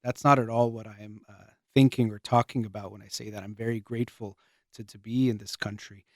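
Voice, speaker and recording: A man's voice: lowish voice, steady tone, no room sound. Earnest man, dry close sound. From United States. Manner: earnest, measured, often pausing and restarting phrases. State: losing his voice. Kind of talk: reflective spoken monologue.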